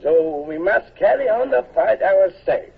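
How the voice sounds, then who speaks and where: A husky, lecturing Prussian colonel. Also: male voice, sits high, animated, unhurried, exaggerated voice. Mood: measured. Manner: precise, lecturing, formal. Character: stiff formal Prussian male colonel, middle-aged. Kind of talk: 1940s radio acting. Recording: tinny lo-fi old radio recording.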